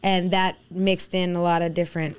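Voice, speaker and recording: A woman's voice: lowish voice, moderate pace, smooth. A woman from the Bahamas talking frankly in a casual podcast chat, acting out little quotes as she makes her points. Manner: frank, animated storytelling with acted-out quotes.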